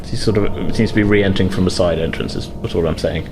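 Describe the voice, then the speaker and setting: A man's voice: sits low, fast talker, music in the background. A man narrates as game master of a tabletop game, in a rambling, self-correcting manner.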